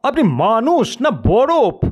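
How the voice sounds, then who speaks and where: A man voicing an irritable, blustering uncle, loud and indignant, in an audio story. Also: very high-pitched, very animated, takes it slowly, voice pushed up, heavily acted. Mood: quarrelsome. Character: irritable, blustering uncle squabbling with fellow passengers.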